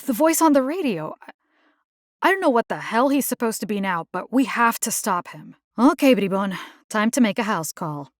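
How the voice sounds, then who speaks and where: A woman's voice: a little high, moderate pace, dry recording, studio quality. A woman voicing a plucky, caring video game combat engineer, casual and wry. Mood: focused, indignant. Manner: casual, wry, expressive, slipping into Spanish slang. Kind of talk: video game character voice lines.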